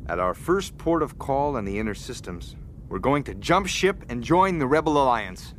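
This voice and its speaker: A man's voice: mid-range voice, sing-song, normal speed, fairly bright tone, a little hiss or hum. An actor in a radio drama playing an earnest, bold young man urging his friend on. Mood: resolute.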